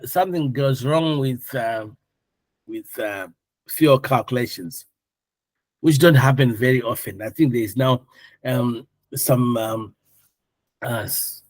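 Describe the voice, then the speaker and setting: A man's voice: mid-range voice, breathy edge, dry recording. A man instructing a class in an informal, conversational and jokey way, asking questions and explaining step by step. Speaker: air traffic control course instructor.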